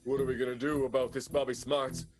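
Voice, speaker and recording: A man's voice: mid-range voice, flat delivery, quick pace, natural voice. A man playing a grave, ruthless schemer in an improvised comic radio soap, speaking with melodramatic, portentous flair. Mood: scheming, grave. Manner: dramatic, portentous. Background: plucked guitar music.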